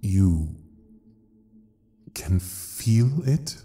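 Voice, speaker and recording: An ancient devil king, dark low voice, big swoops, mocking and theatrical. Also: very animated, a little hiss or hum, full character voice. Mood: amused, taunting. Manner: theatrical, taunting, drawn-out delivery. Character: ancient, mocking male devil ruling hell. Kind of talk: dramatic audio roleplay acting.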